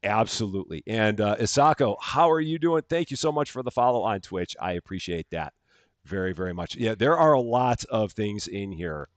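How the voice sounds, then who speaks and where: Lively low-voiced male game streamer. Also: normal speed, no room sound. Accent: general American. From Chicago area, USA. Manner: upbeat, greets chat by name, frequent fillers and restarts. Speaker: live-stream gaming host. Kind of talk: casual live-stream chat greeting viewers.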